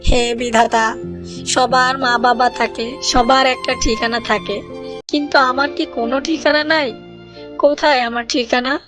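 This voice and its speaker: High-voiced young duckling, voiced by a woman. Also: fairly even intonation, unhurried, over music. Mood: forlorn pleading. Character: young orphaned duckling, lost, polite, clever. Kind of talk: children's moral-story cartoon dialogue.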